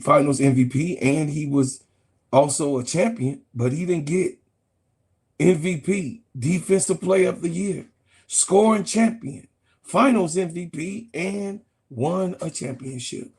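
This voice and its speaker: Husky high-voiced man ranting. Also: measured pace, a little mellow. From Chicago, USA. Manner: profane, repetitive, argumentative. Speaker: sports channel host. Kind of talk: heated casual sports rant.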